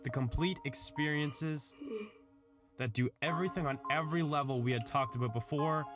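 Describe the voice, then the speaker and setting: A man's voice: on the high side, normal speed. A man reading an earnest, articulate scripted narration in the style of a video essay. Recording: background music under the voice. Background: music.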